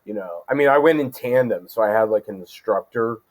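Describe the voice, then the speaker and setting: A man's voice: fairly low pitch, brisk. A man speaking as a guest judge in a casual interview, thinking aloud with frequent ums and restarts.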